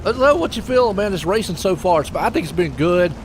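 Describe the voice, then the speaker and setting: Casting note: chatty male sim race host, slightly high voice, loud engine noise behind him. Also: animated, brisk. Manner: chatty and folksy, jokes with his co-host. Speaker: race commentator and stream host. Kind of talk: casual sim racing commentary. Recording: noisy broadcast mix with engine noise.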